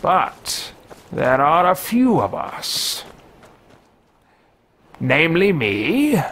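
A man puts on a haughty wizard-for-hire who mutters grandly to himself as he treks. He speaks very slowly, stretching each phrase, and his pitch swings widely and a little high. A faint hiss sits under the headset recording. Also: heavily acted. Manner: pompous, theatrical, slow and drawn out. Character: arrogant male wizard mercenary talking to himself. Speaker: let's play roleplayer. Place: small room with bookshelves, indoors. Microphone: headset mic at a desk webcam.

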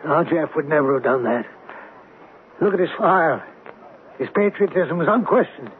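An anxious, evasive businessman played by a man in a vintage radio play. His voice sits fairly high and sounds hesitant and defensive, on a tinny recording with some noise. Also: lively intonation, moderate pace, natural voice. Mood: worried, defensive. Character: flustered, evasive male company president. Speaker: actor in a radio mystery drama. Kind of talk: 1970s radio drama acting.

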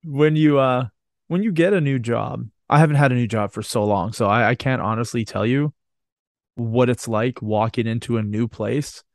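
A man's voice: mid-range voice, moderate pace, dry recording, studio quality. A man hosting a podcast, chatting casually in a joking, rambling way and swearing freely. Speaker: podcast host. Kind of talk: casual, bantering podcast chat.

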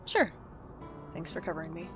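A woman's voice: fairly low pitch, expressive, normal speed, music in the background, lightly acted. A woman playing a wry, hapless twenty-five-year-old in an audio drama, self-deprecating, with dry asides and an anxious streak. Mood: apologetic. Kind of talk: audio drama narration and dialogue.

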